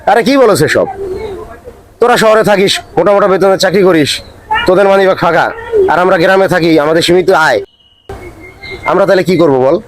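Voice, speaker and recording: A man's voice: high voice, brisk, long pauses, slight background noise, close to an everyday voice, poor audio. A man with a Bangladeshi accent acting as a puzzled, sympathetic village friend in a comic drama, asking incredulous questions. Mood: protesting. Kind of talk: scripted comic drama dialogue.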